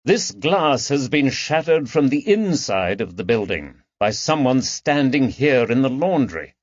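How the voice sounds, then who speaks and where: A man voicing a composed, methodical police detective with a measured, authoritative manner in an audiobook. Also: medium pitch, big pitch swings, dry recording, lo-fi sound, pitched above the performer's own voice. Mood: firm. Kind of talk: audiobook character dialogue.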